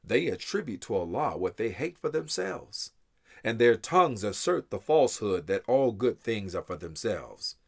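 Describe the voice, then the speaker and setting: A man giving a solemn, measured, reverent reading of scripture in English translation. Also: on the low side, sing-song, creaky. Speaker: scripture reader.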